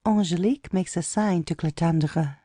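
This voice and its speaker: A woman's voice: fairly low pitch, normal speed, mellow, no echo, clean studio sound. A woman narrator reading out stage directions as scripted narration for an audio play.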